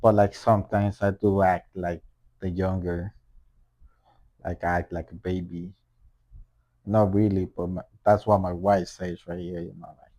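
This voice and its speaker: Slow-talking Salvadoran-accented man. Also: deep voice, level tone, dark tone. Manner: playful, joking, halting with restarts. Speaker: podcast co-host. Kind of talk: casual chat.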